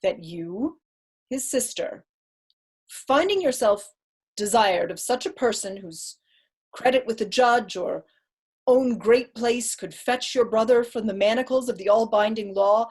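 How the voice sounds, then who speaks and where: Woman playing a cold scheming judge in shakespeare, husky voice, formal n probing, keeps pushing. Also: on the high side, unhurried, a little mellow. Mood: calculating, insistent. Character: cold, calculating male deputy judge, coercive and insinuating. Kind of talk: Shakespearean staged reading.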